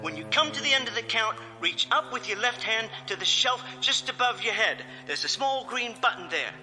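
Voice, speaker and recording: An actor playing a precise, self-assured scientist and criminal mastermind in a radio drama, with formal, clipped diction. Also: male voice, sits high, animated, normal speed, sharp tone, full character voice. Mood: calm, instructing. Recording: hall-like reverb with faint music.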